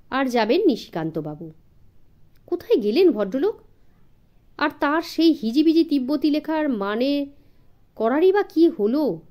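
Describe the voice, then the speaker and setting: A woman's voice: high voice, warm tone. A woman reading a scripted first-person story for children as an audiobook narrator.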